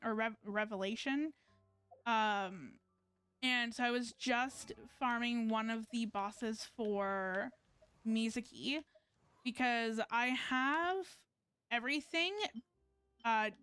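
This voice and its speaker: A woman game streamer with a smooth, fairly high voice and a dry, close sound. She talks casually, in a halting way, as if thinking aloud. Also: fairly bright tone, dry recording. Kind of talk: casual live gaming stream chat.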